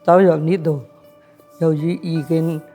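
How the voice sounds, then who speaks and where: A man giving a personal testimonial as a client, speaking haltingly and repeating phrases. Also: fairly high pitch, level tone, mellow, soft background music. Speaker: legal aid client.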